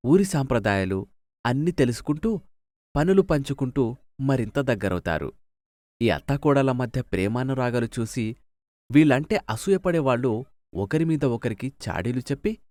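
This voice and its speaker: A man's voice: medium pitch, a little mellow, no echo. A man narrating a scripted moral story as a storyteller.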